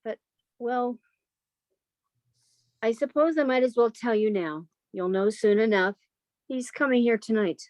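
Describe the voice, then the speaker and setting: A woman's voice: on the high side, unhurried, pauses often, darkish tone, no echo, close to an everyday voice. A woman playing a sheltered, romantic country spinster of thirty-five in an old-time radio melodrama, earnest and girlish. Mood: apologetic, dreamy.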